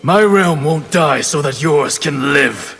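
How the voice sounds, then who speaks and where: A man's voice: a little high, animated, slow, over music. A man playing a grim, resolute, honour-bound ninja warrior in a video game. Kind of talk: video game fight intro banter. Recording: noisy game audio, hall reverb.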